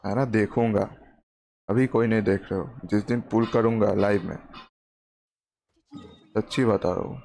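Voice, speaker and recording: A man from India commenting casually on his game stream, offhand and chatty. His voice is low and gravelly, and he talks very fast. Faint game music plays under him. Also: steady tone, a little mellow. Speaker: gaming streamer.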